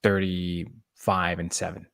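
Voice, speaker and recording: A man's voice: sits low, monotone, slow pace, creaky, fairly bright tone, clear, resonant tone. A man co-hosting a sports podcast in a casual, conversational debate.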